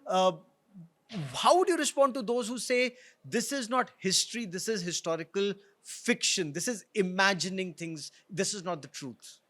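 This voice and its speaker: High-pitched Indian man, interviewing. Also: moderate pace, a bit bright. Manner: agreeable, interjecting short affirmations. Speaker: interviewer, host. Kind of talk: conversational interviewing.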